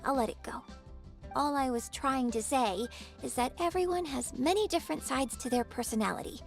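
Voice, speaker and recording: Poised, higher-voiced young stage performer. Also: female voice, normal speed, gravelly, soft background music. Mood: mildly chiding. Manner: composed, articulate, theatrical. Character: poised young woman stage performer, friendly, playfully dramatic. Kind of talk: video game voice acting.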